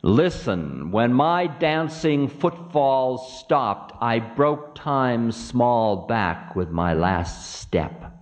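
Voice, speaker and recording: A man's voice: mid-range voice, big pitch swings, very slow, hardly any pauses. A man voicing a defiant, mocking demon king with a scornful, theatrical drawl, in dramatic mythic storytelling. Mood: amused. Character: Ravana, defiant, mocking twenty-armed male demon king. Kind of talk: dramatic oral storytelling of myth.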